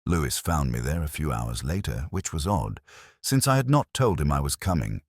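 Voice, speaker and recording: A man reading first-person mystery narration in a measured, brooding, literary manner. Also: a bass voice, big pitch swings, no echo, studio quality.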